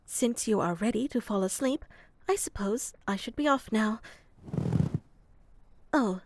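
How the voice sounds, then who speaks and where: A woman voicing a gentle, slightly scatterbrained female moth creature in a sleep roleplay. She speaks softly and slowly into a close microphone and sometimes drops to a whisper. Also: on the high side, background noise. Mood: soft, musing. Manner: soft-spoken, slow and musing, slipping into whispers. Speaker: ASMR performer.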